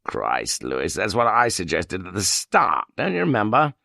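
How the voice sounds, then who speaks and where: A man's voice: low voice, wildly swooping pitch, normal speed, creaky, sharp tone, dry recording, studio quality, full character voice. A man voicing a dryly ironic, irritable middle-aged chief inspector in an audiobook. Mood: curt, dry.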